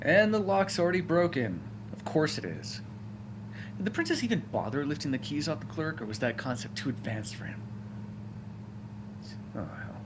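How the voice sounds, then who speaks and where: A man's voice: fairly high pitch, big pitch swings, moderate pace, noisy background. A man voicing a sarcastic, foul-mouthed vampire who is grudgingly helpful and hungry, speaking intimately to the listener in an audio roleplay. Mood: dry, grumbling. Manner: sarcastic, wry, exasperated, conversational. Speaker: roleplay voice actor.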